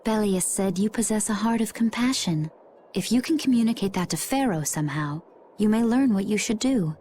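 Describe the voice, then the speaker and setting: A woman playing a composed, enigmatic young warrior in an anime-style video game, with measured delivery. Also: medium pitch, expressive, mellow, slight background noise, natural voice. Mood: solemn, resolute.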